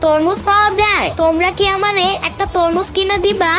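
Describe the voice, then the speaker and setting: Very high, whiny cartoon boy, Bangladeshi accent. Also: fairly even intonation, a little fast. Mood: exasperated. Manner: dramatic complaining. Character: whiny, cheeky young village boy craving watermelon. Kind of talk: Bengali cartoon voice-over. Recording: loud music behind the voice.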